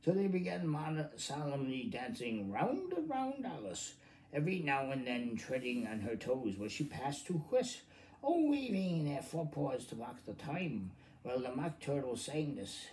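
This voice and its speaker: A man in his fifties reading a children's story aloud in a halting, rambling, theatrical way, stumbling over words and repeating them. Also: mid-range voice, huge pitch swings, a little mellow, rough recording. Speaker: amateur storybook reader. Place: living room at home, indoors. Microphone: phone at arm's length.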